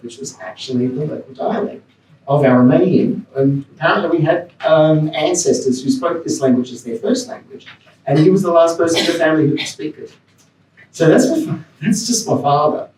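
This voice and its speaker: A man's voice: a little high, darkish tone, echoey room, far from the mic, slight background noise, rough recording. A man from Australia giving a personal, rambling talk on a panel, with restarts and chuckles. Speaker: panel speaker. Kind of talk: academic talk. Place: lecture room.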